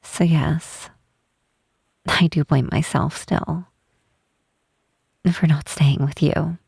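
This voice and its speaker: A tall half-troll woman cleric, played by an actress in an ASMR roleplay, shy and weighed down by guilt. She talks very slowly and haltingly, in a soft voice with a gravelly creak, recorded close and dry. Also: sits low, fairly even intonation, no echo, full character voice. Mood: guilty, regretful. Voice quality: soft, husky, breathy. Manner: hesitant, halting, apologetic, with sighs. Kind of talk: ASMR roleplay, intimate scripted monologue.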